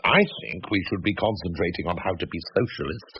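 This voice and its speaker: A man's voice: low-pitched, a little fast. A man with a British accent acting a glib, ironic, self-justifying socialist journalist in a radio drama. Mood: wry, curious. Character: smug, teasing socialist journalist husband, hearty and self-justifying. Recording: dull, noisy old radio recording.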